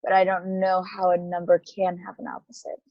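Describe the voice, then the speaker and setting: A woman playing a newly awakened, anxious space probe in an amateur table read, halting, stammering and apologetic. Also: fairly low pitch, completely flat delivery, no echo, dull audio, natural voice. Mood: puzzled, searching.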